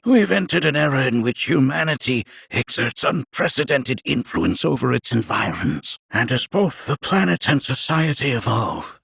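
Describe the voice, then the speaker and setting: An actor playing an eccentric, jolly old scholar in a video game, with a gravelly, aged voice and a courtly, lecturing way of talking. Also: male voice, on the high side, a bit bright, dry recording, exaggerated voice. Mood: grave, wistful. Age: older. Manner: courtly, lecturing, with drawn-out musing. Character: eccentric, jolly old male sage and scientist. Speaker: planetology scholar and teacher. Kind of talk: theatrical video game character acting.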